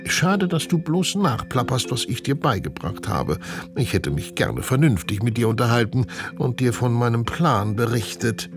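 A man's voice: medium pitch, lively intonation, moderate pace, music in the background, pitched above the performer's own voice, natural voice. A man voicing a jolly, self-important mad doctor villain with a pompous, theatrical manner in a children's story. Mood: resigned.

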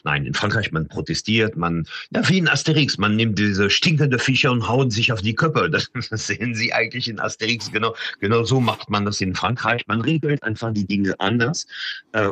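Deep husky-voiced man from France. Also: a bit bright, dry recording. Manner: hesitant, many fillers and restarts. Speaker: interview guest. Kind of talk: radio interview.

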